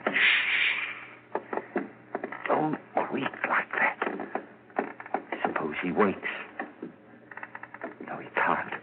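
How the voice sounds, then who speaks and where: Obsessive murderer for old radio thriller, very low and unhurried, tormented, on a muffled vintage recording. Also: male voice, heavy background noise, heavily acted. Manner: hushed, halting dramatic monologue. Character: tormented murderer whispering to himself, stealthy and obsessive. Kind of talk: 1940s radio horror acting.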